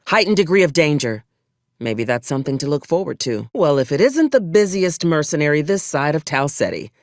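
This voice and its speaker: A woman's voice: low-pitched, no room sound, studio quality. A woman playing an ambitious, ruthless military officer in a video game, wry, with formal old-fashioned wording mixed with folksy frontier slang. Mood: matter-of-fact, dry, then wry, businesslike. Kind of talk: video game character dialogue.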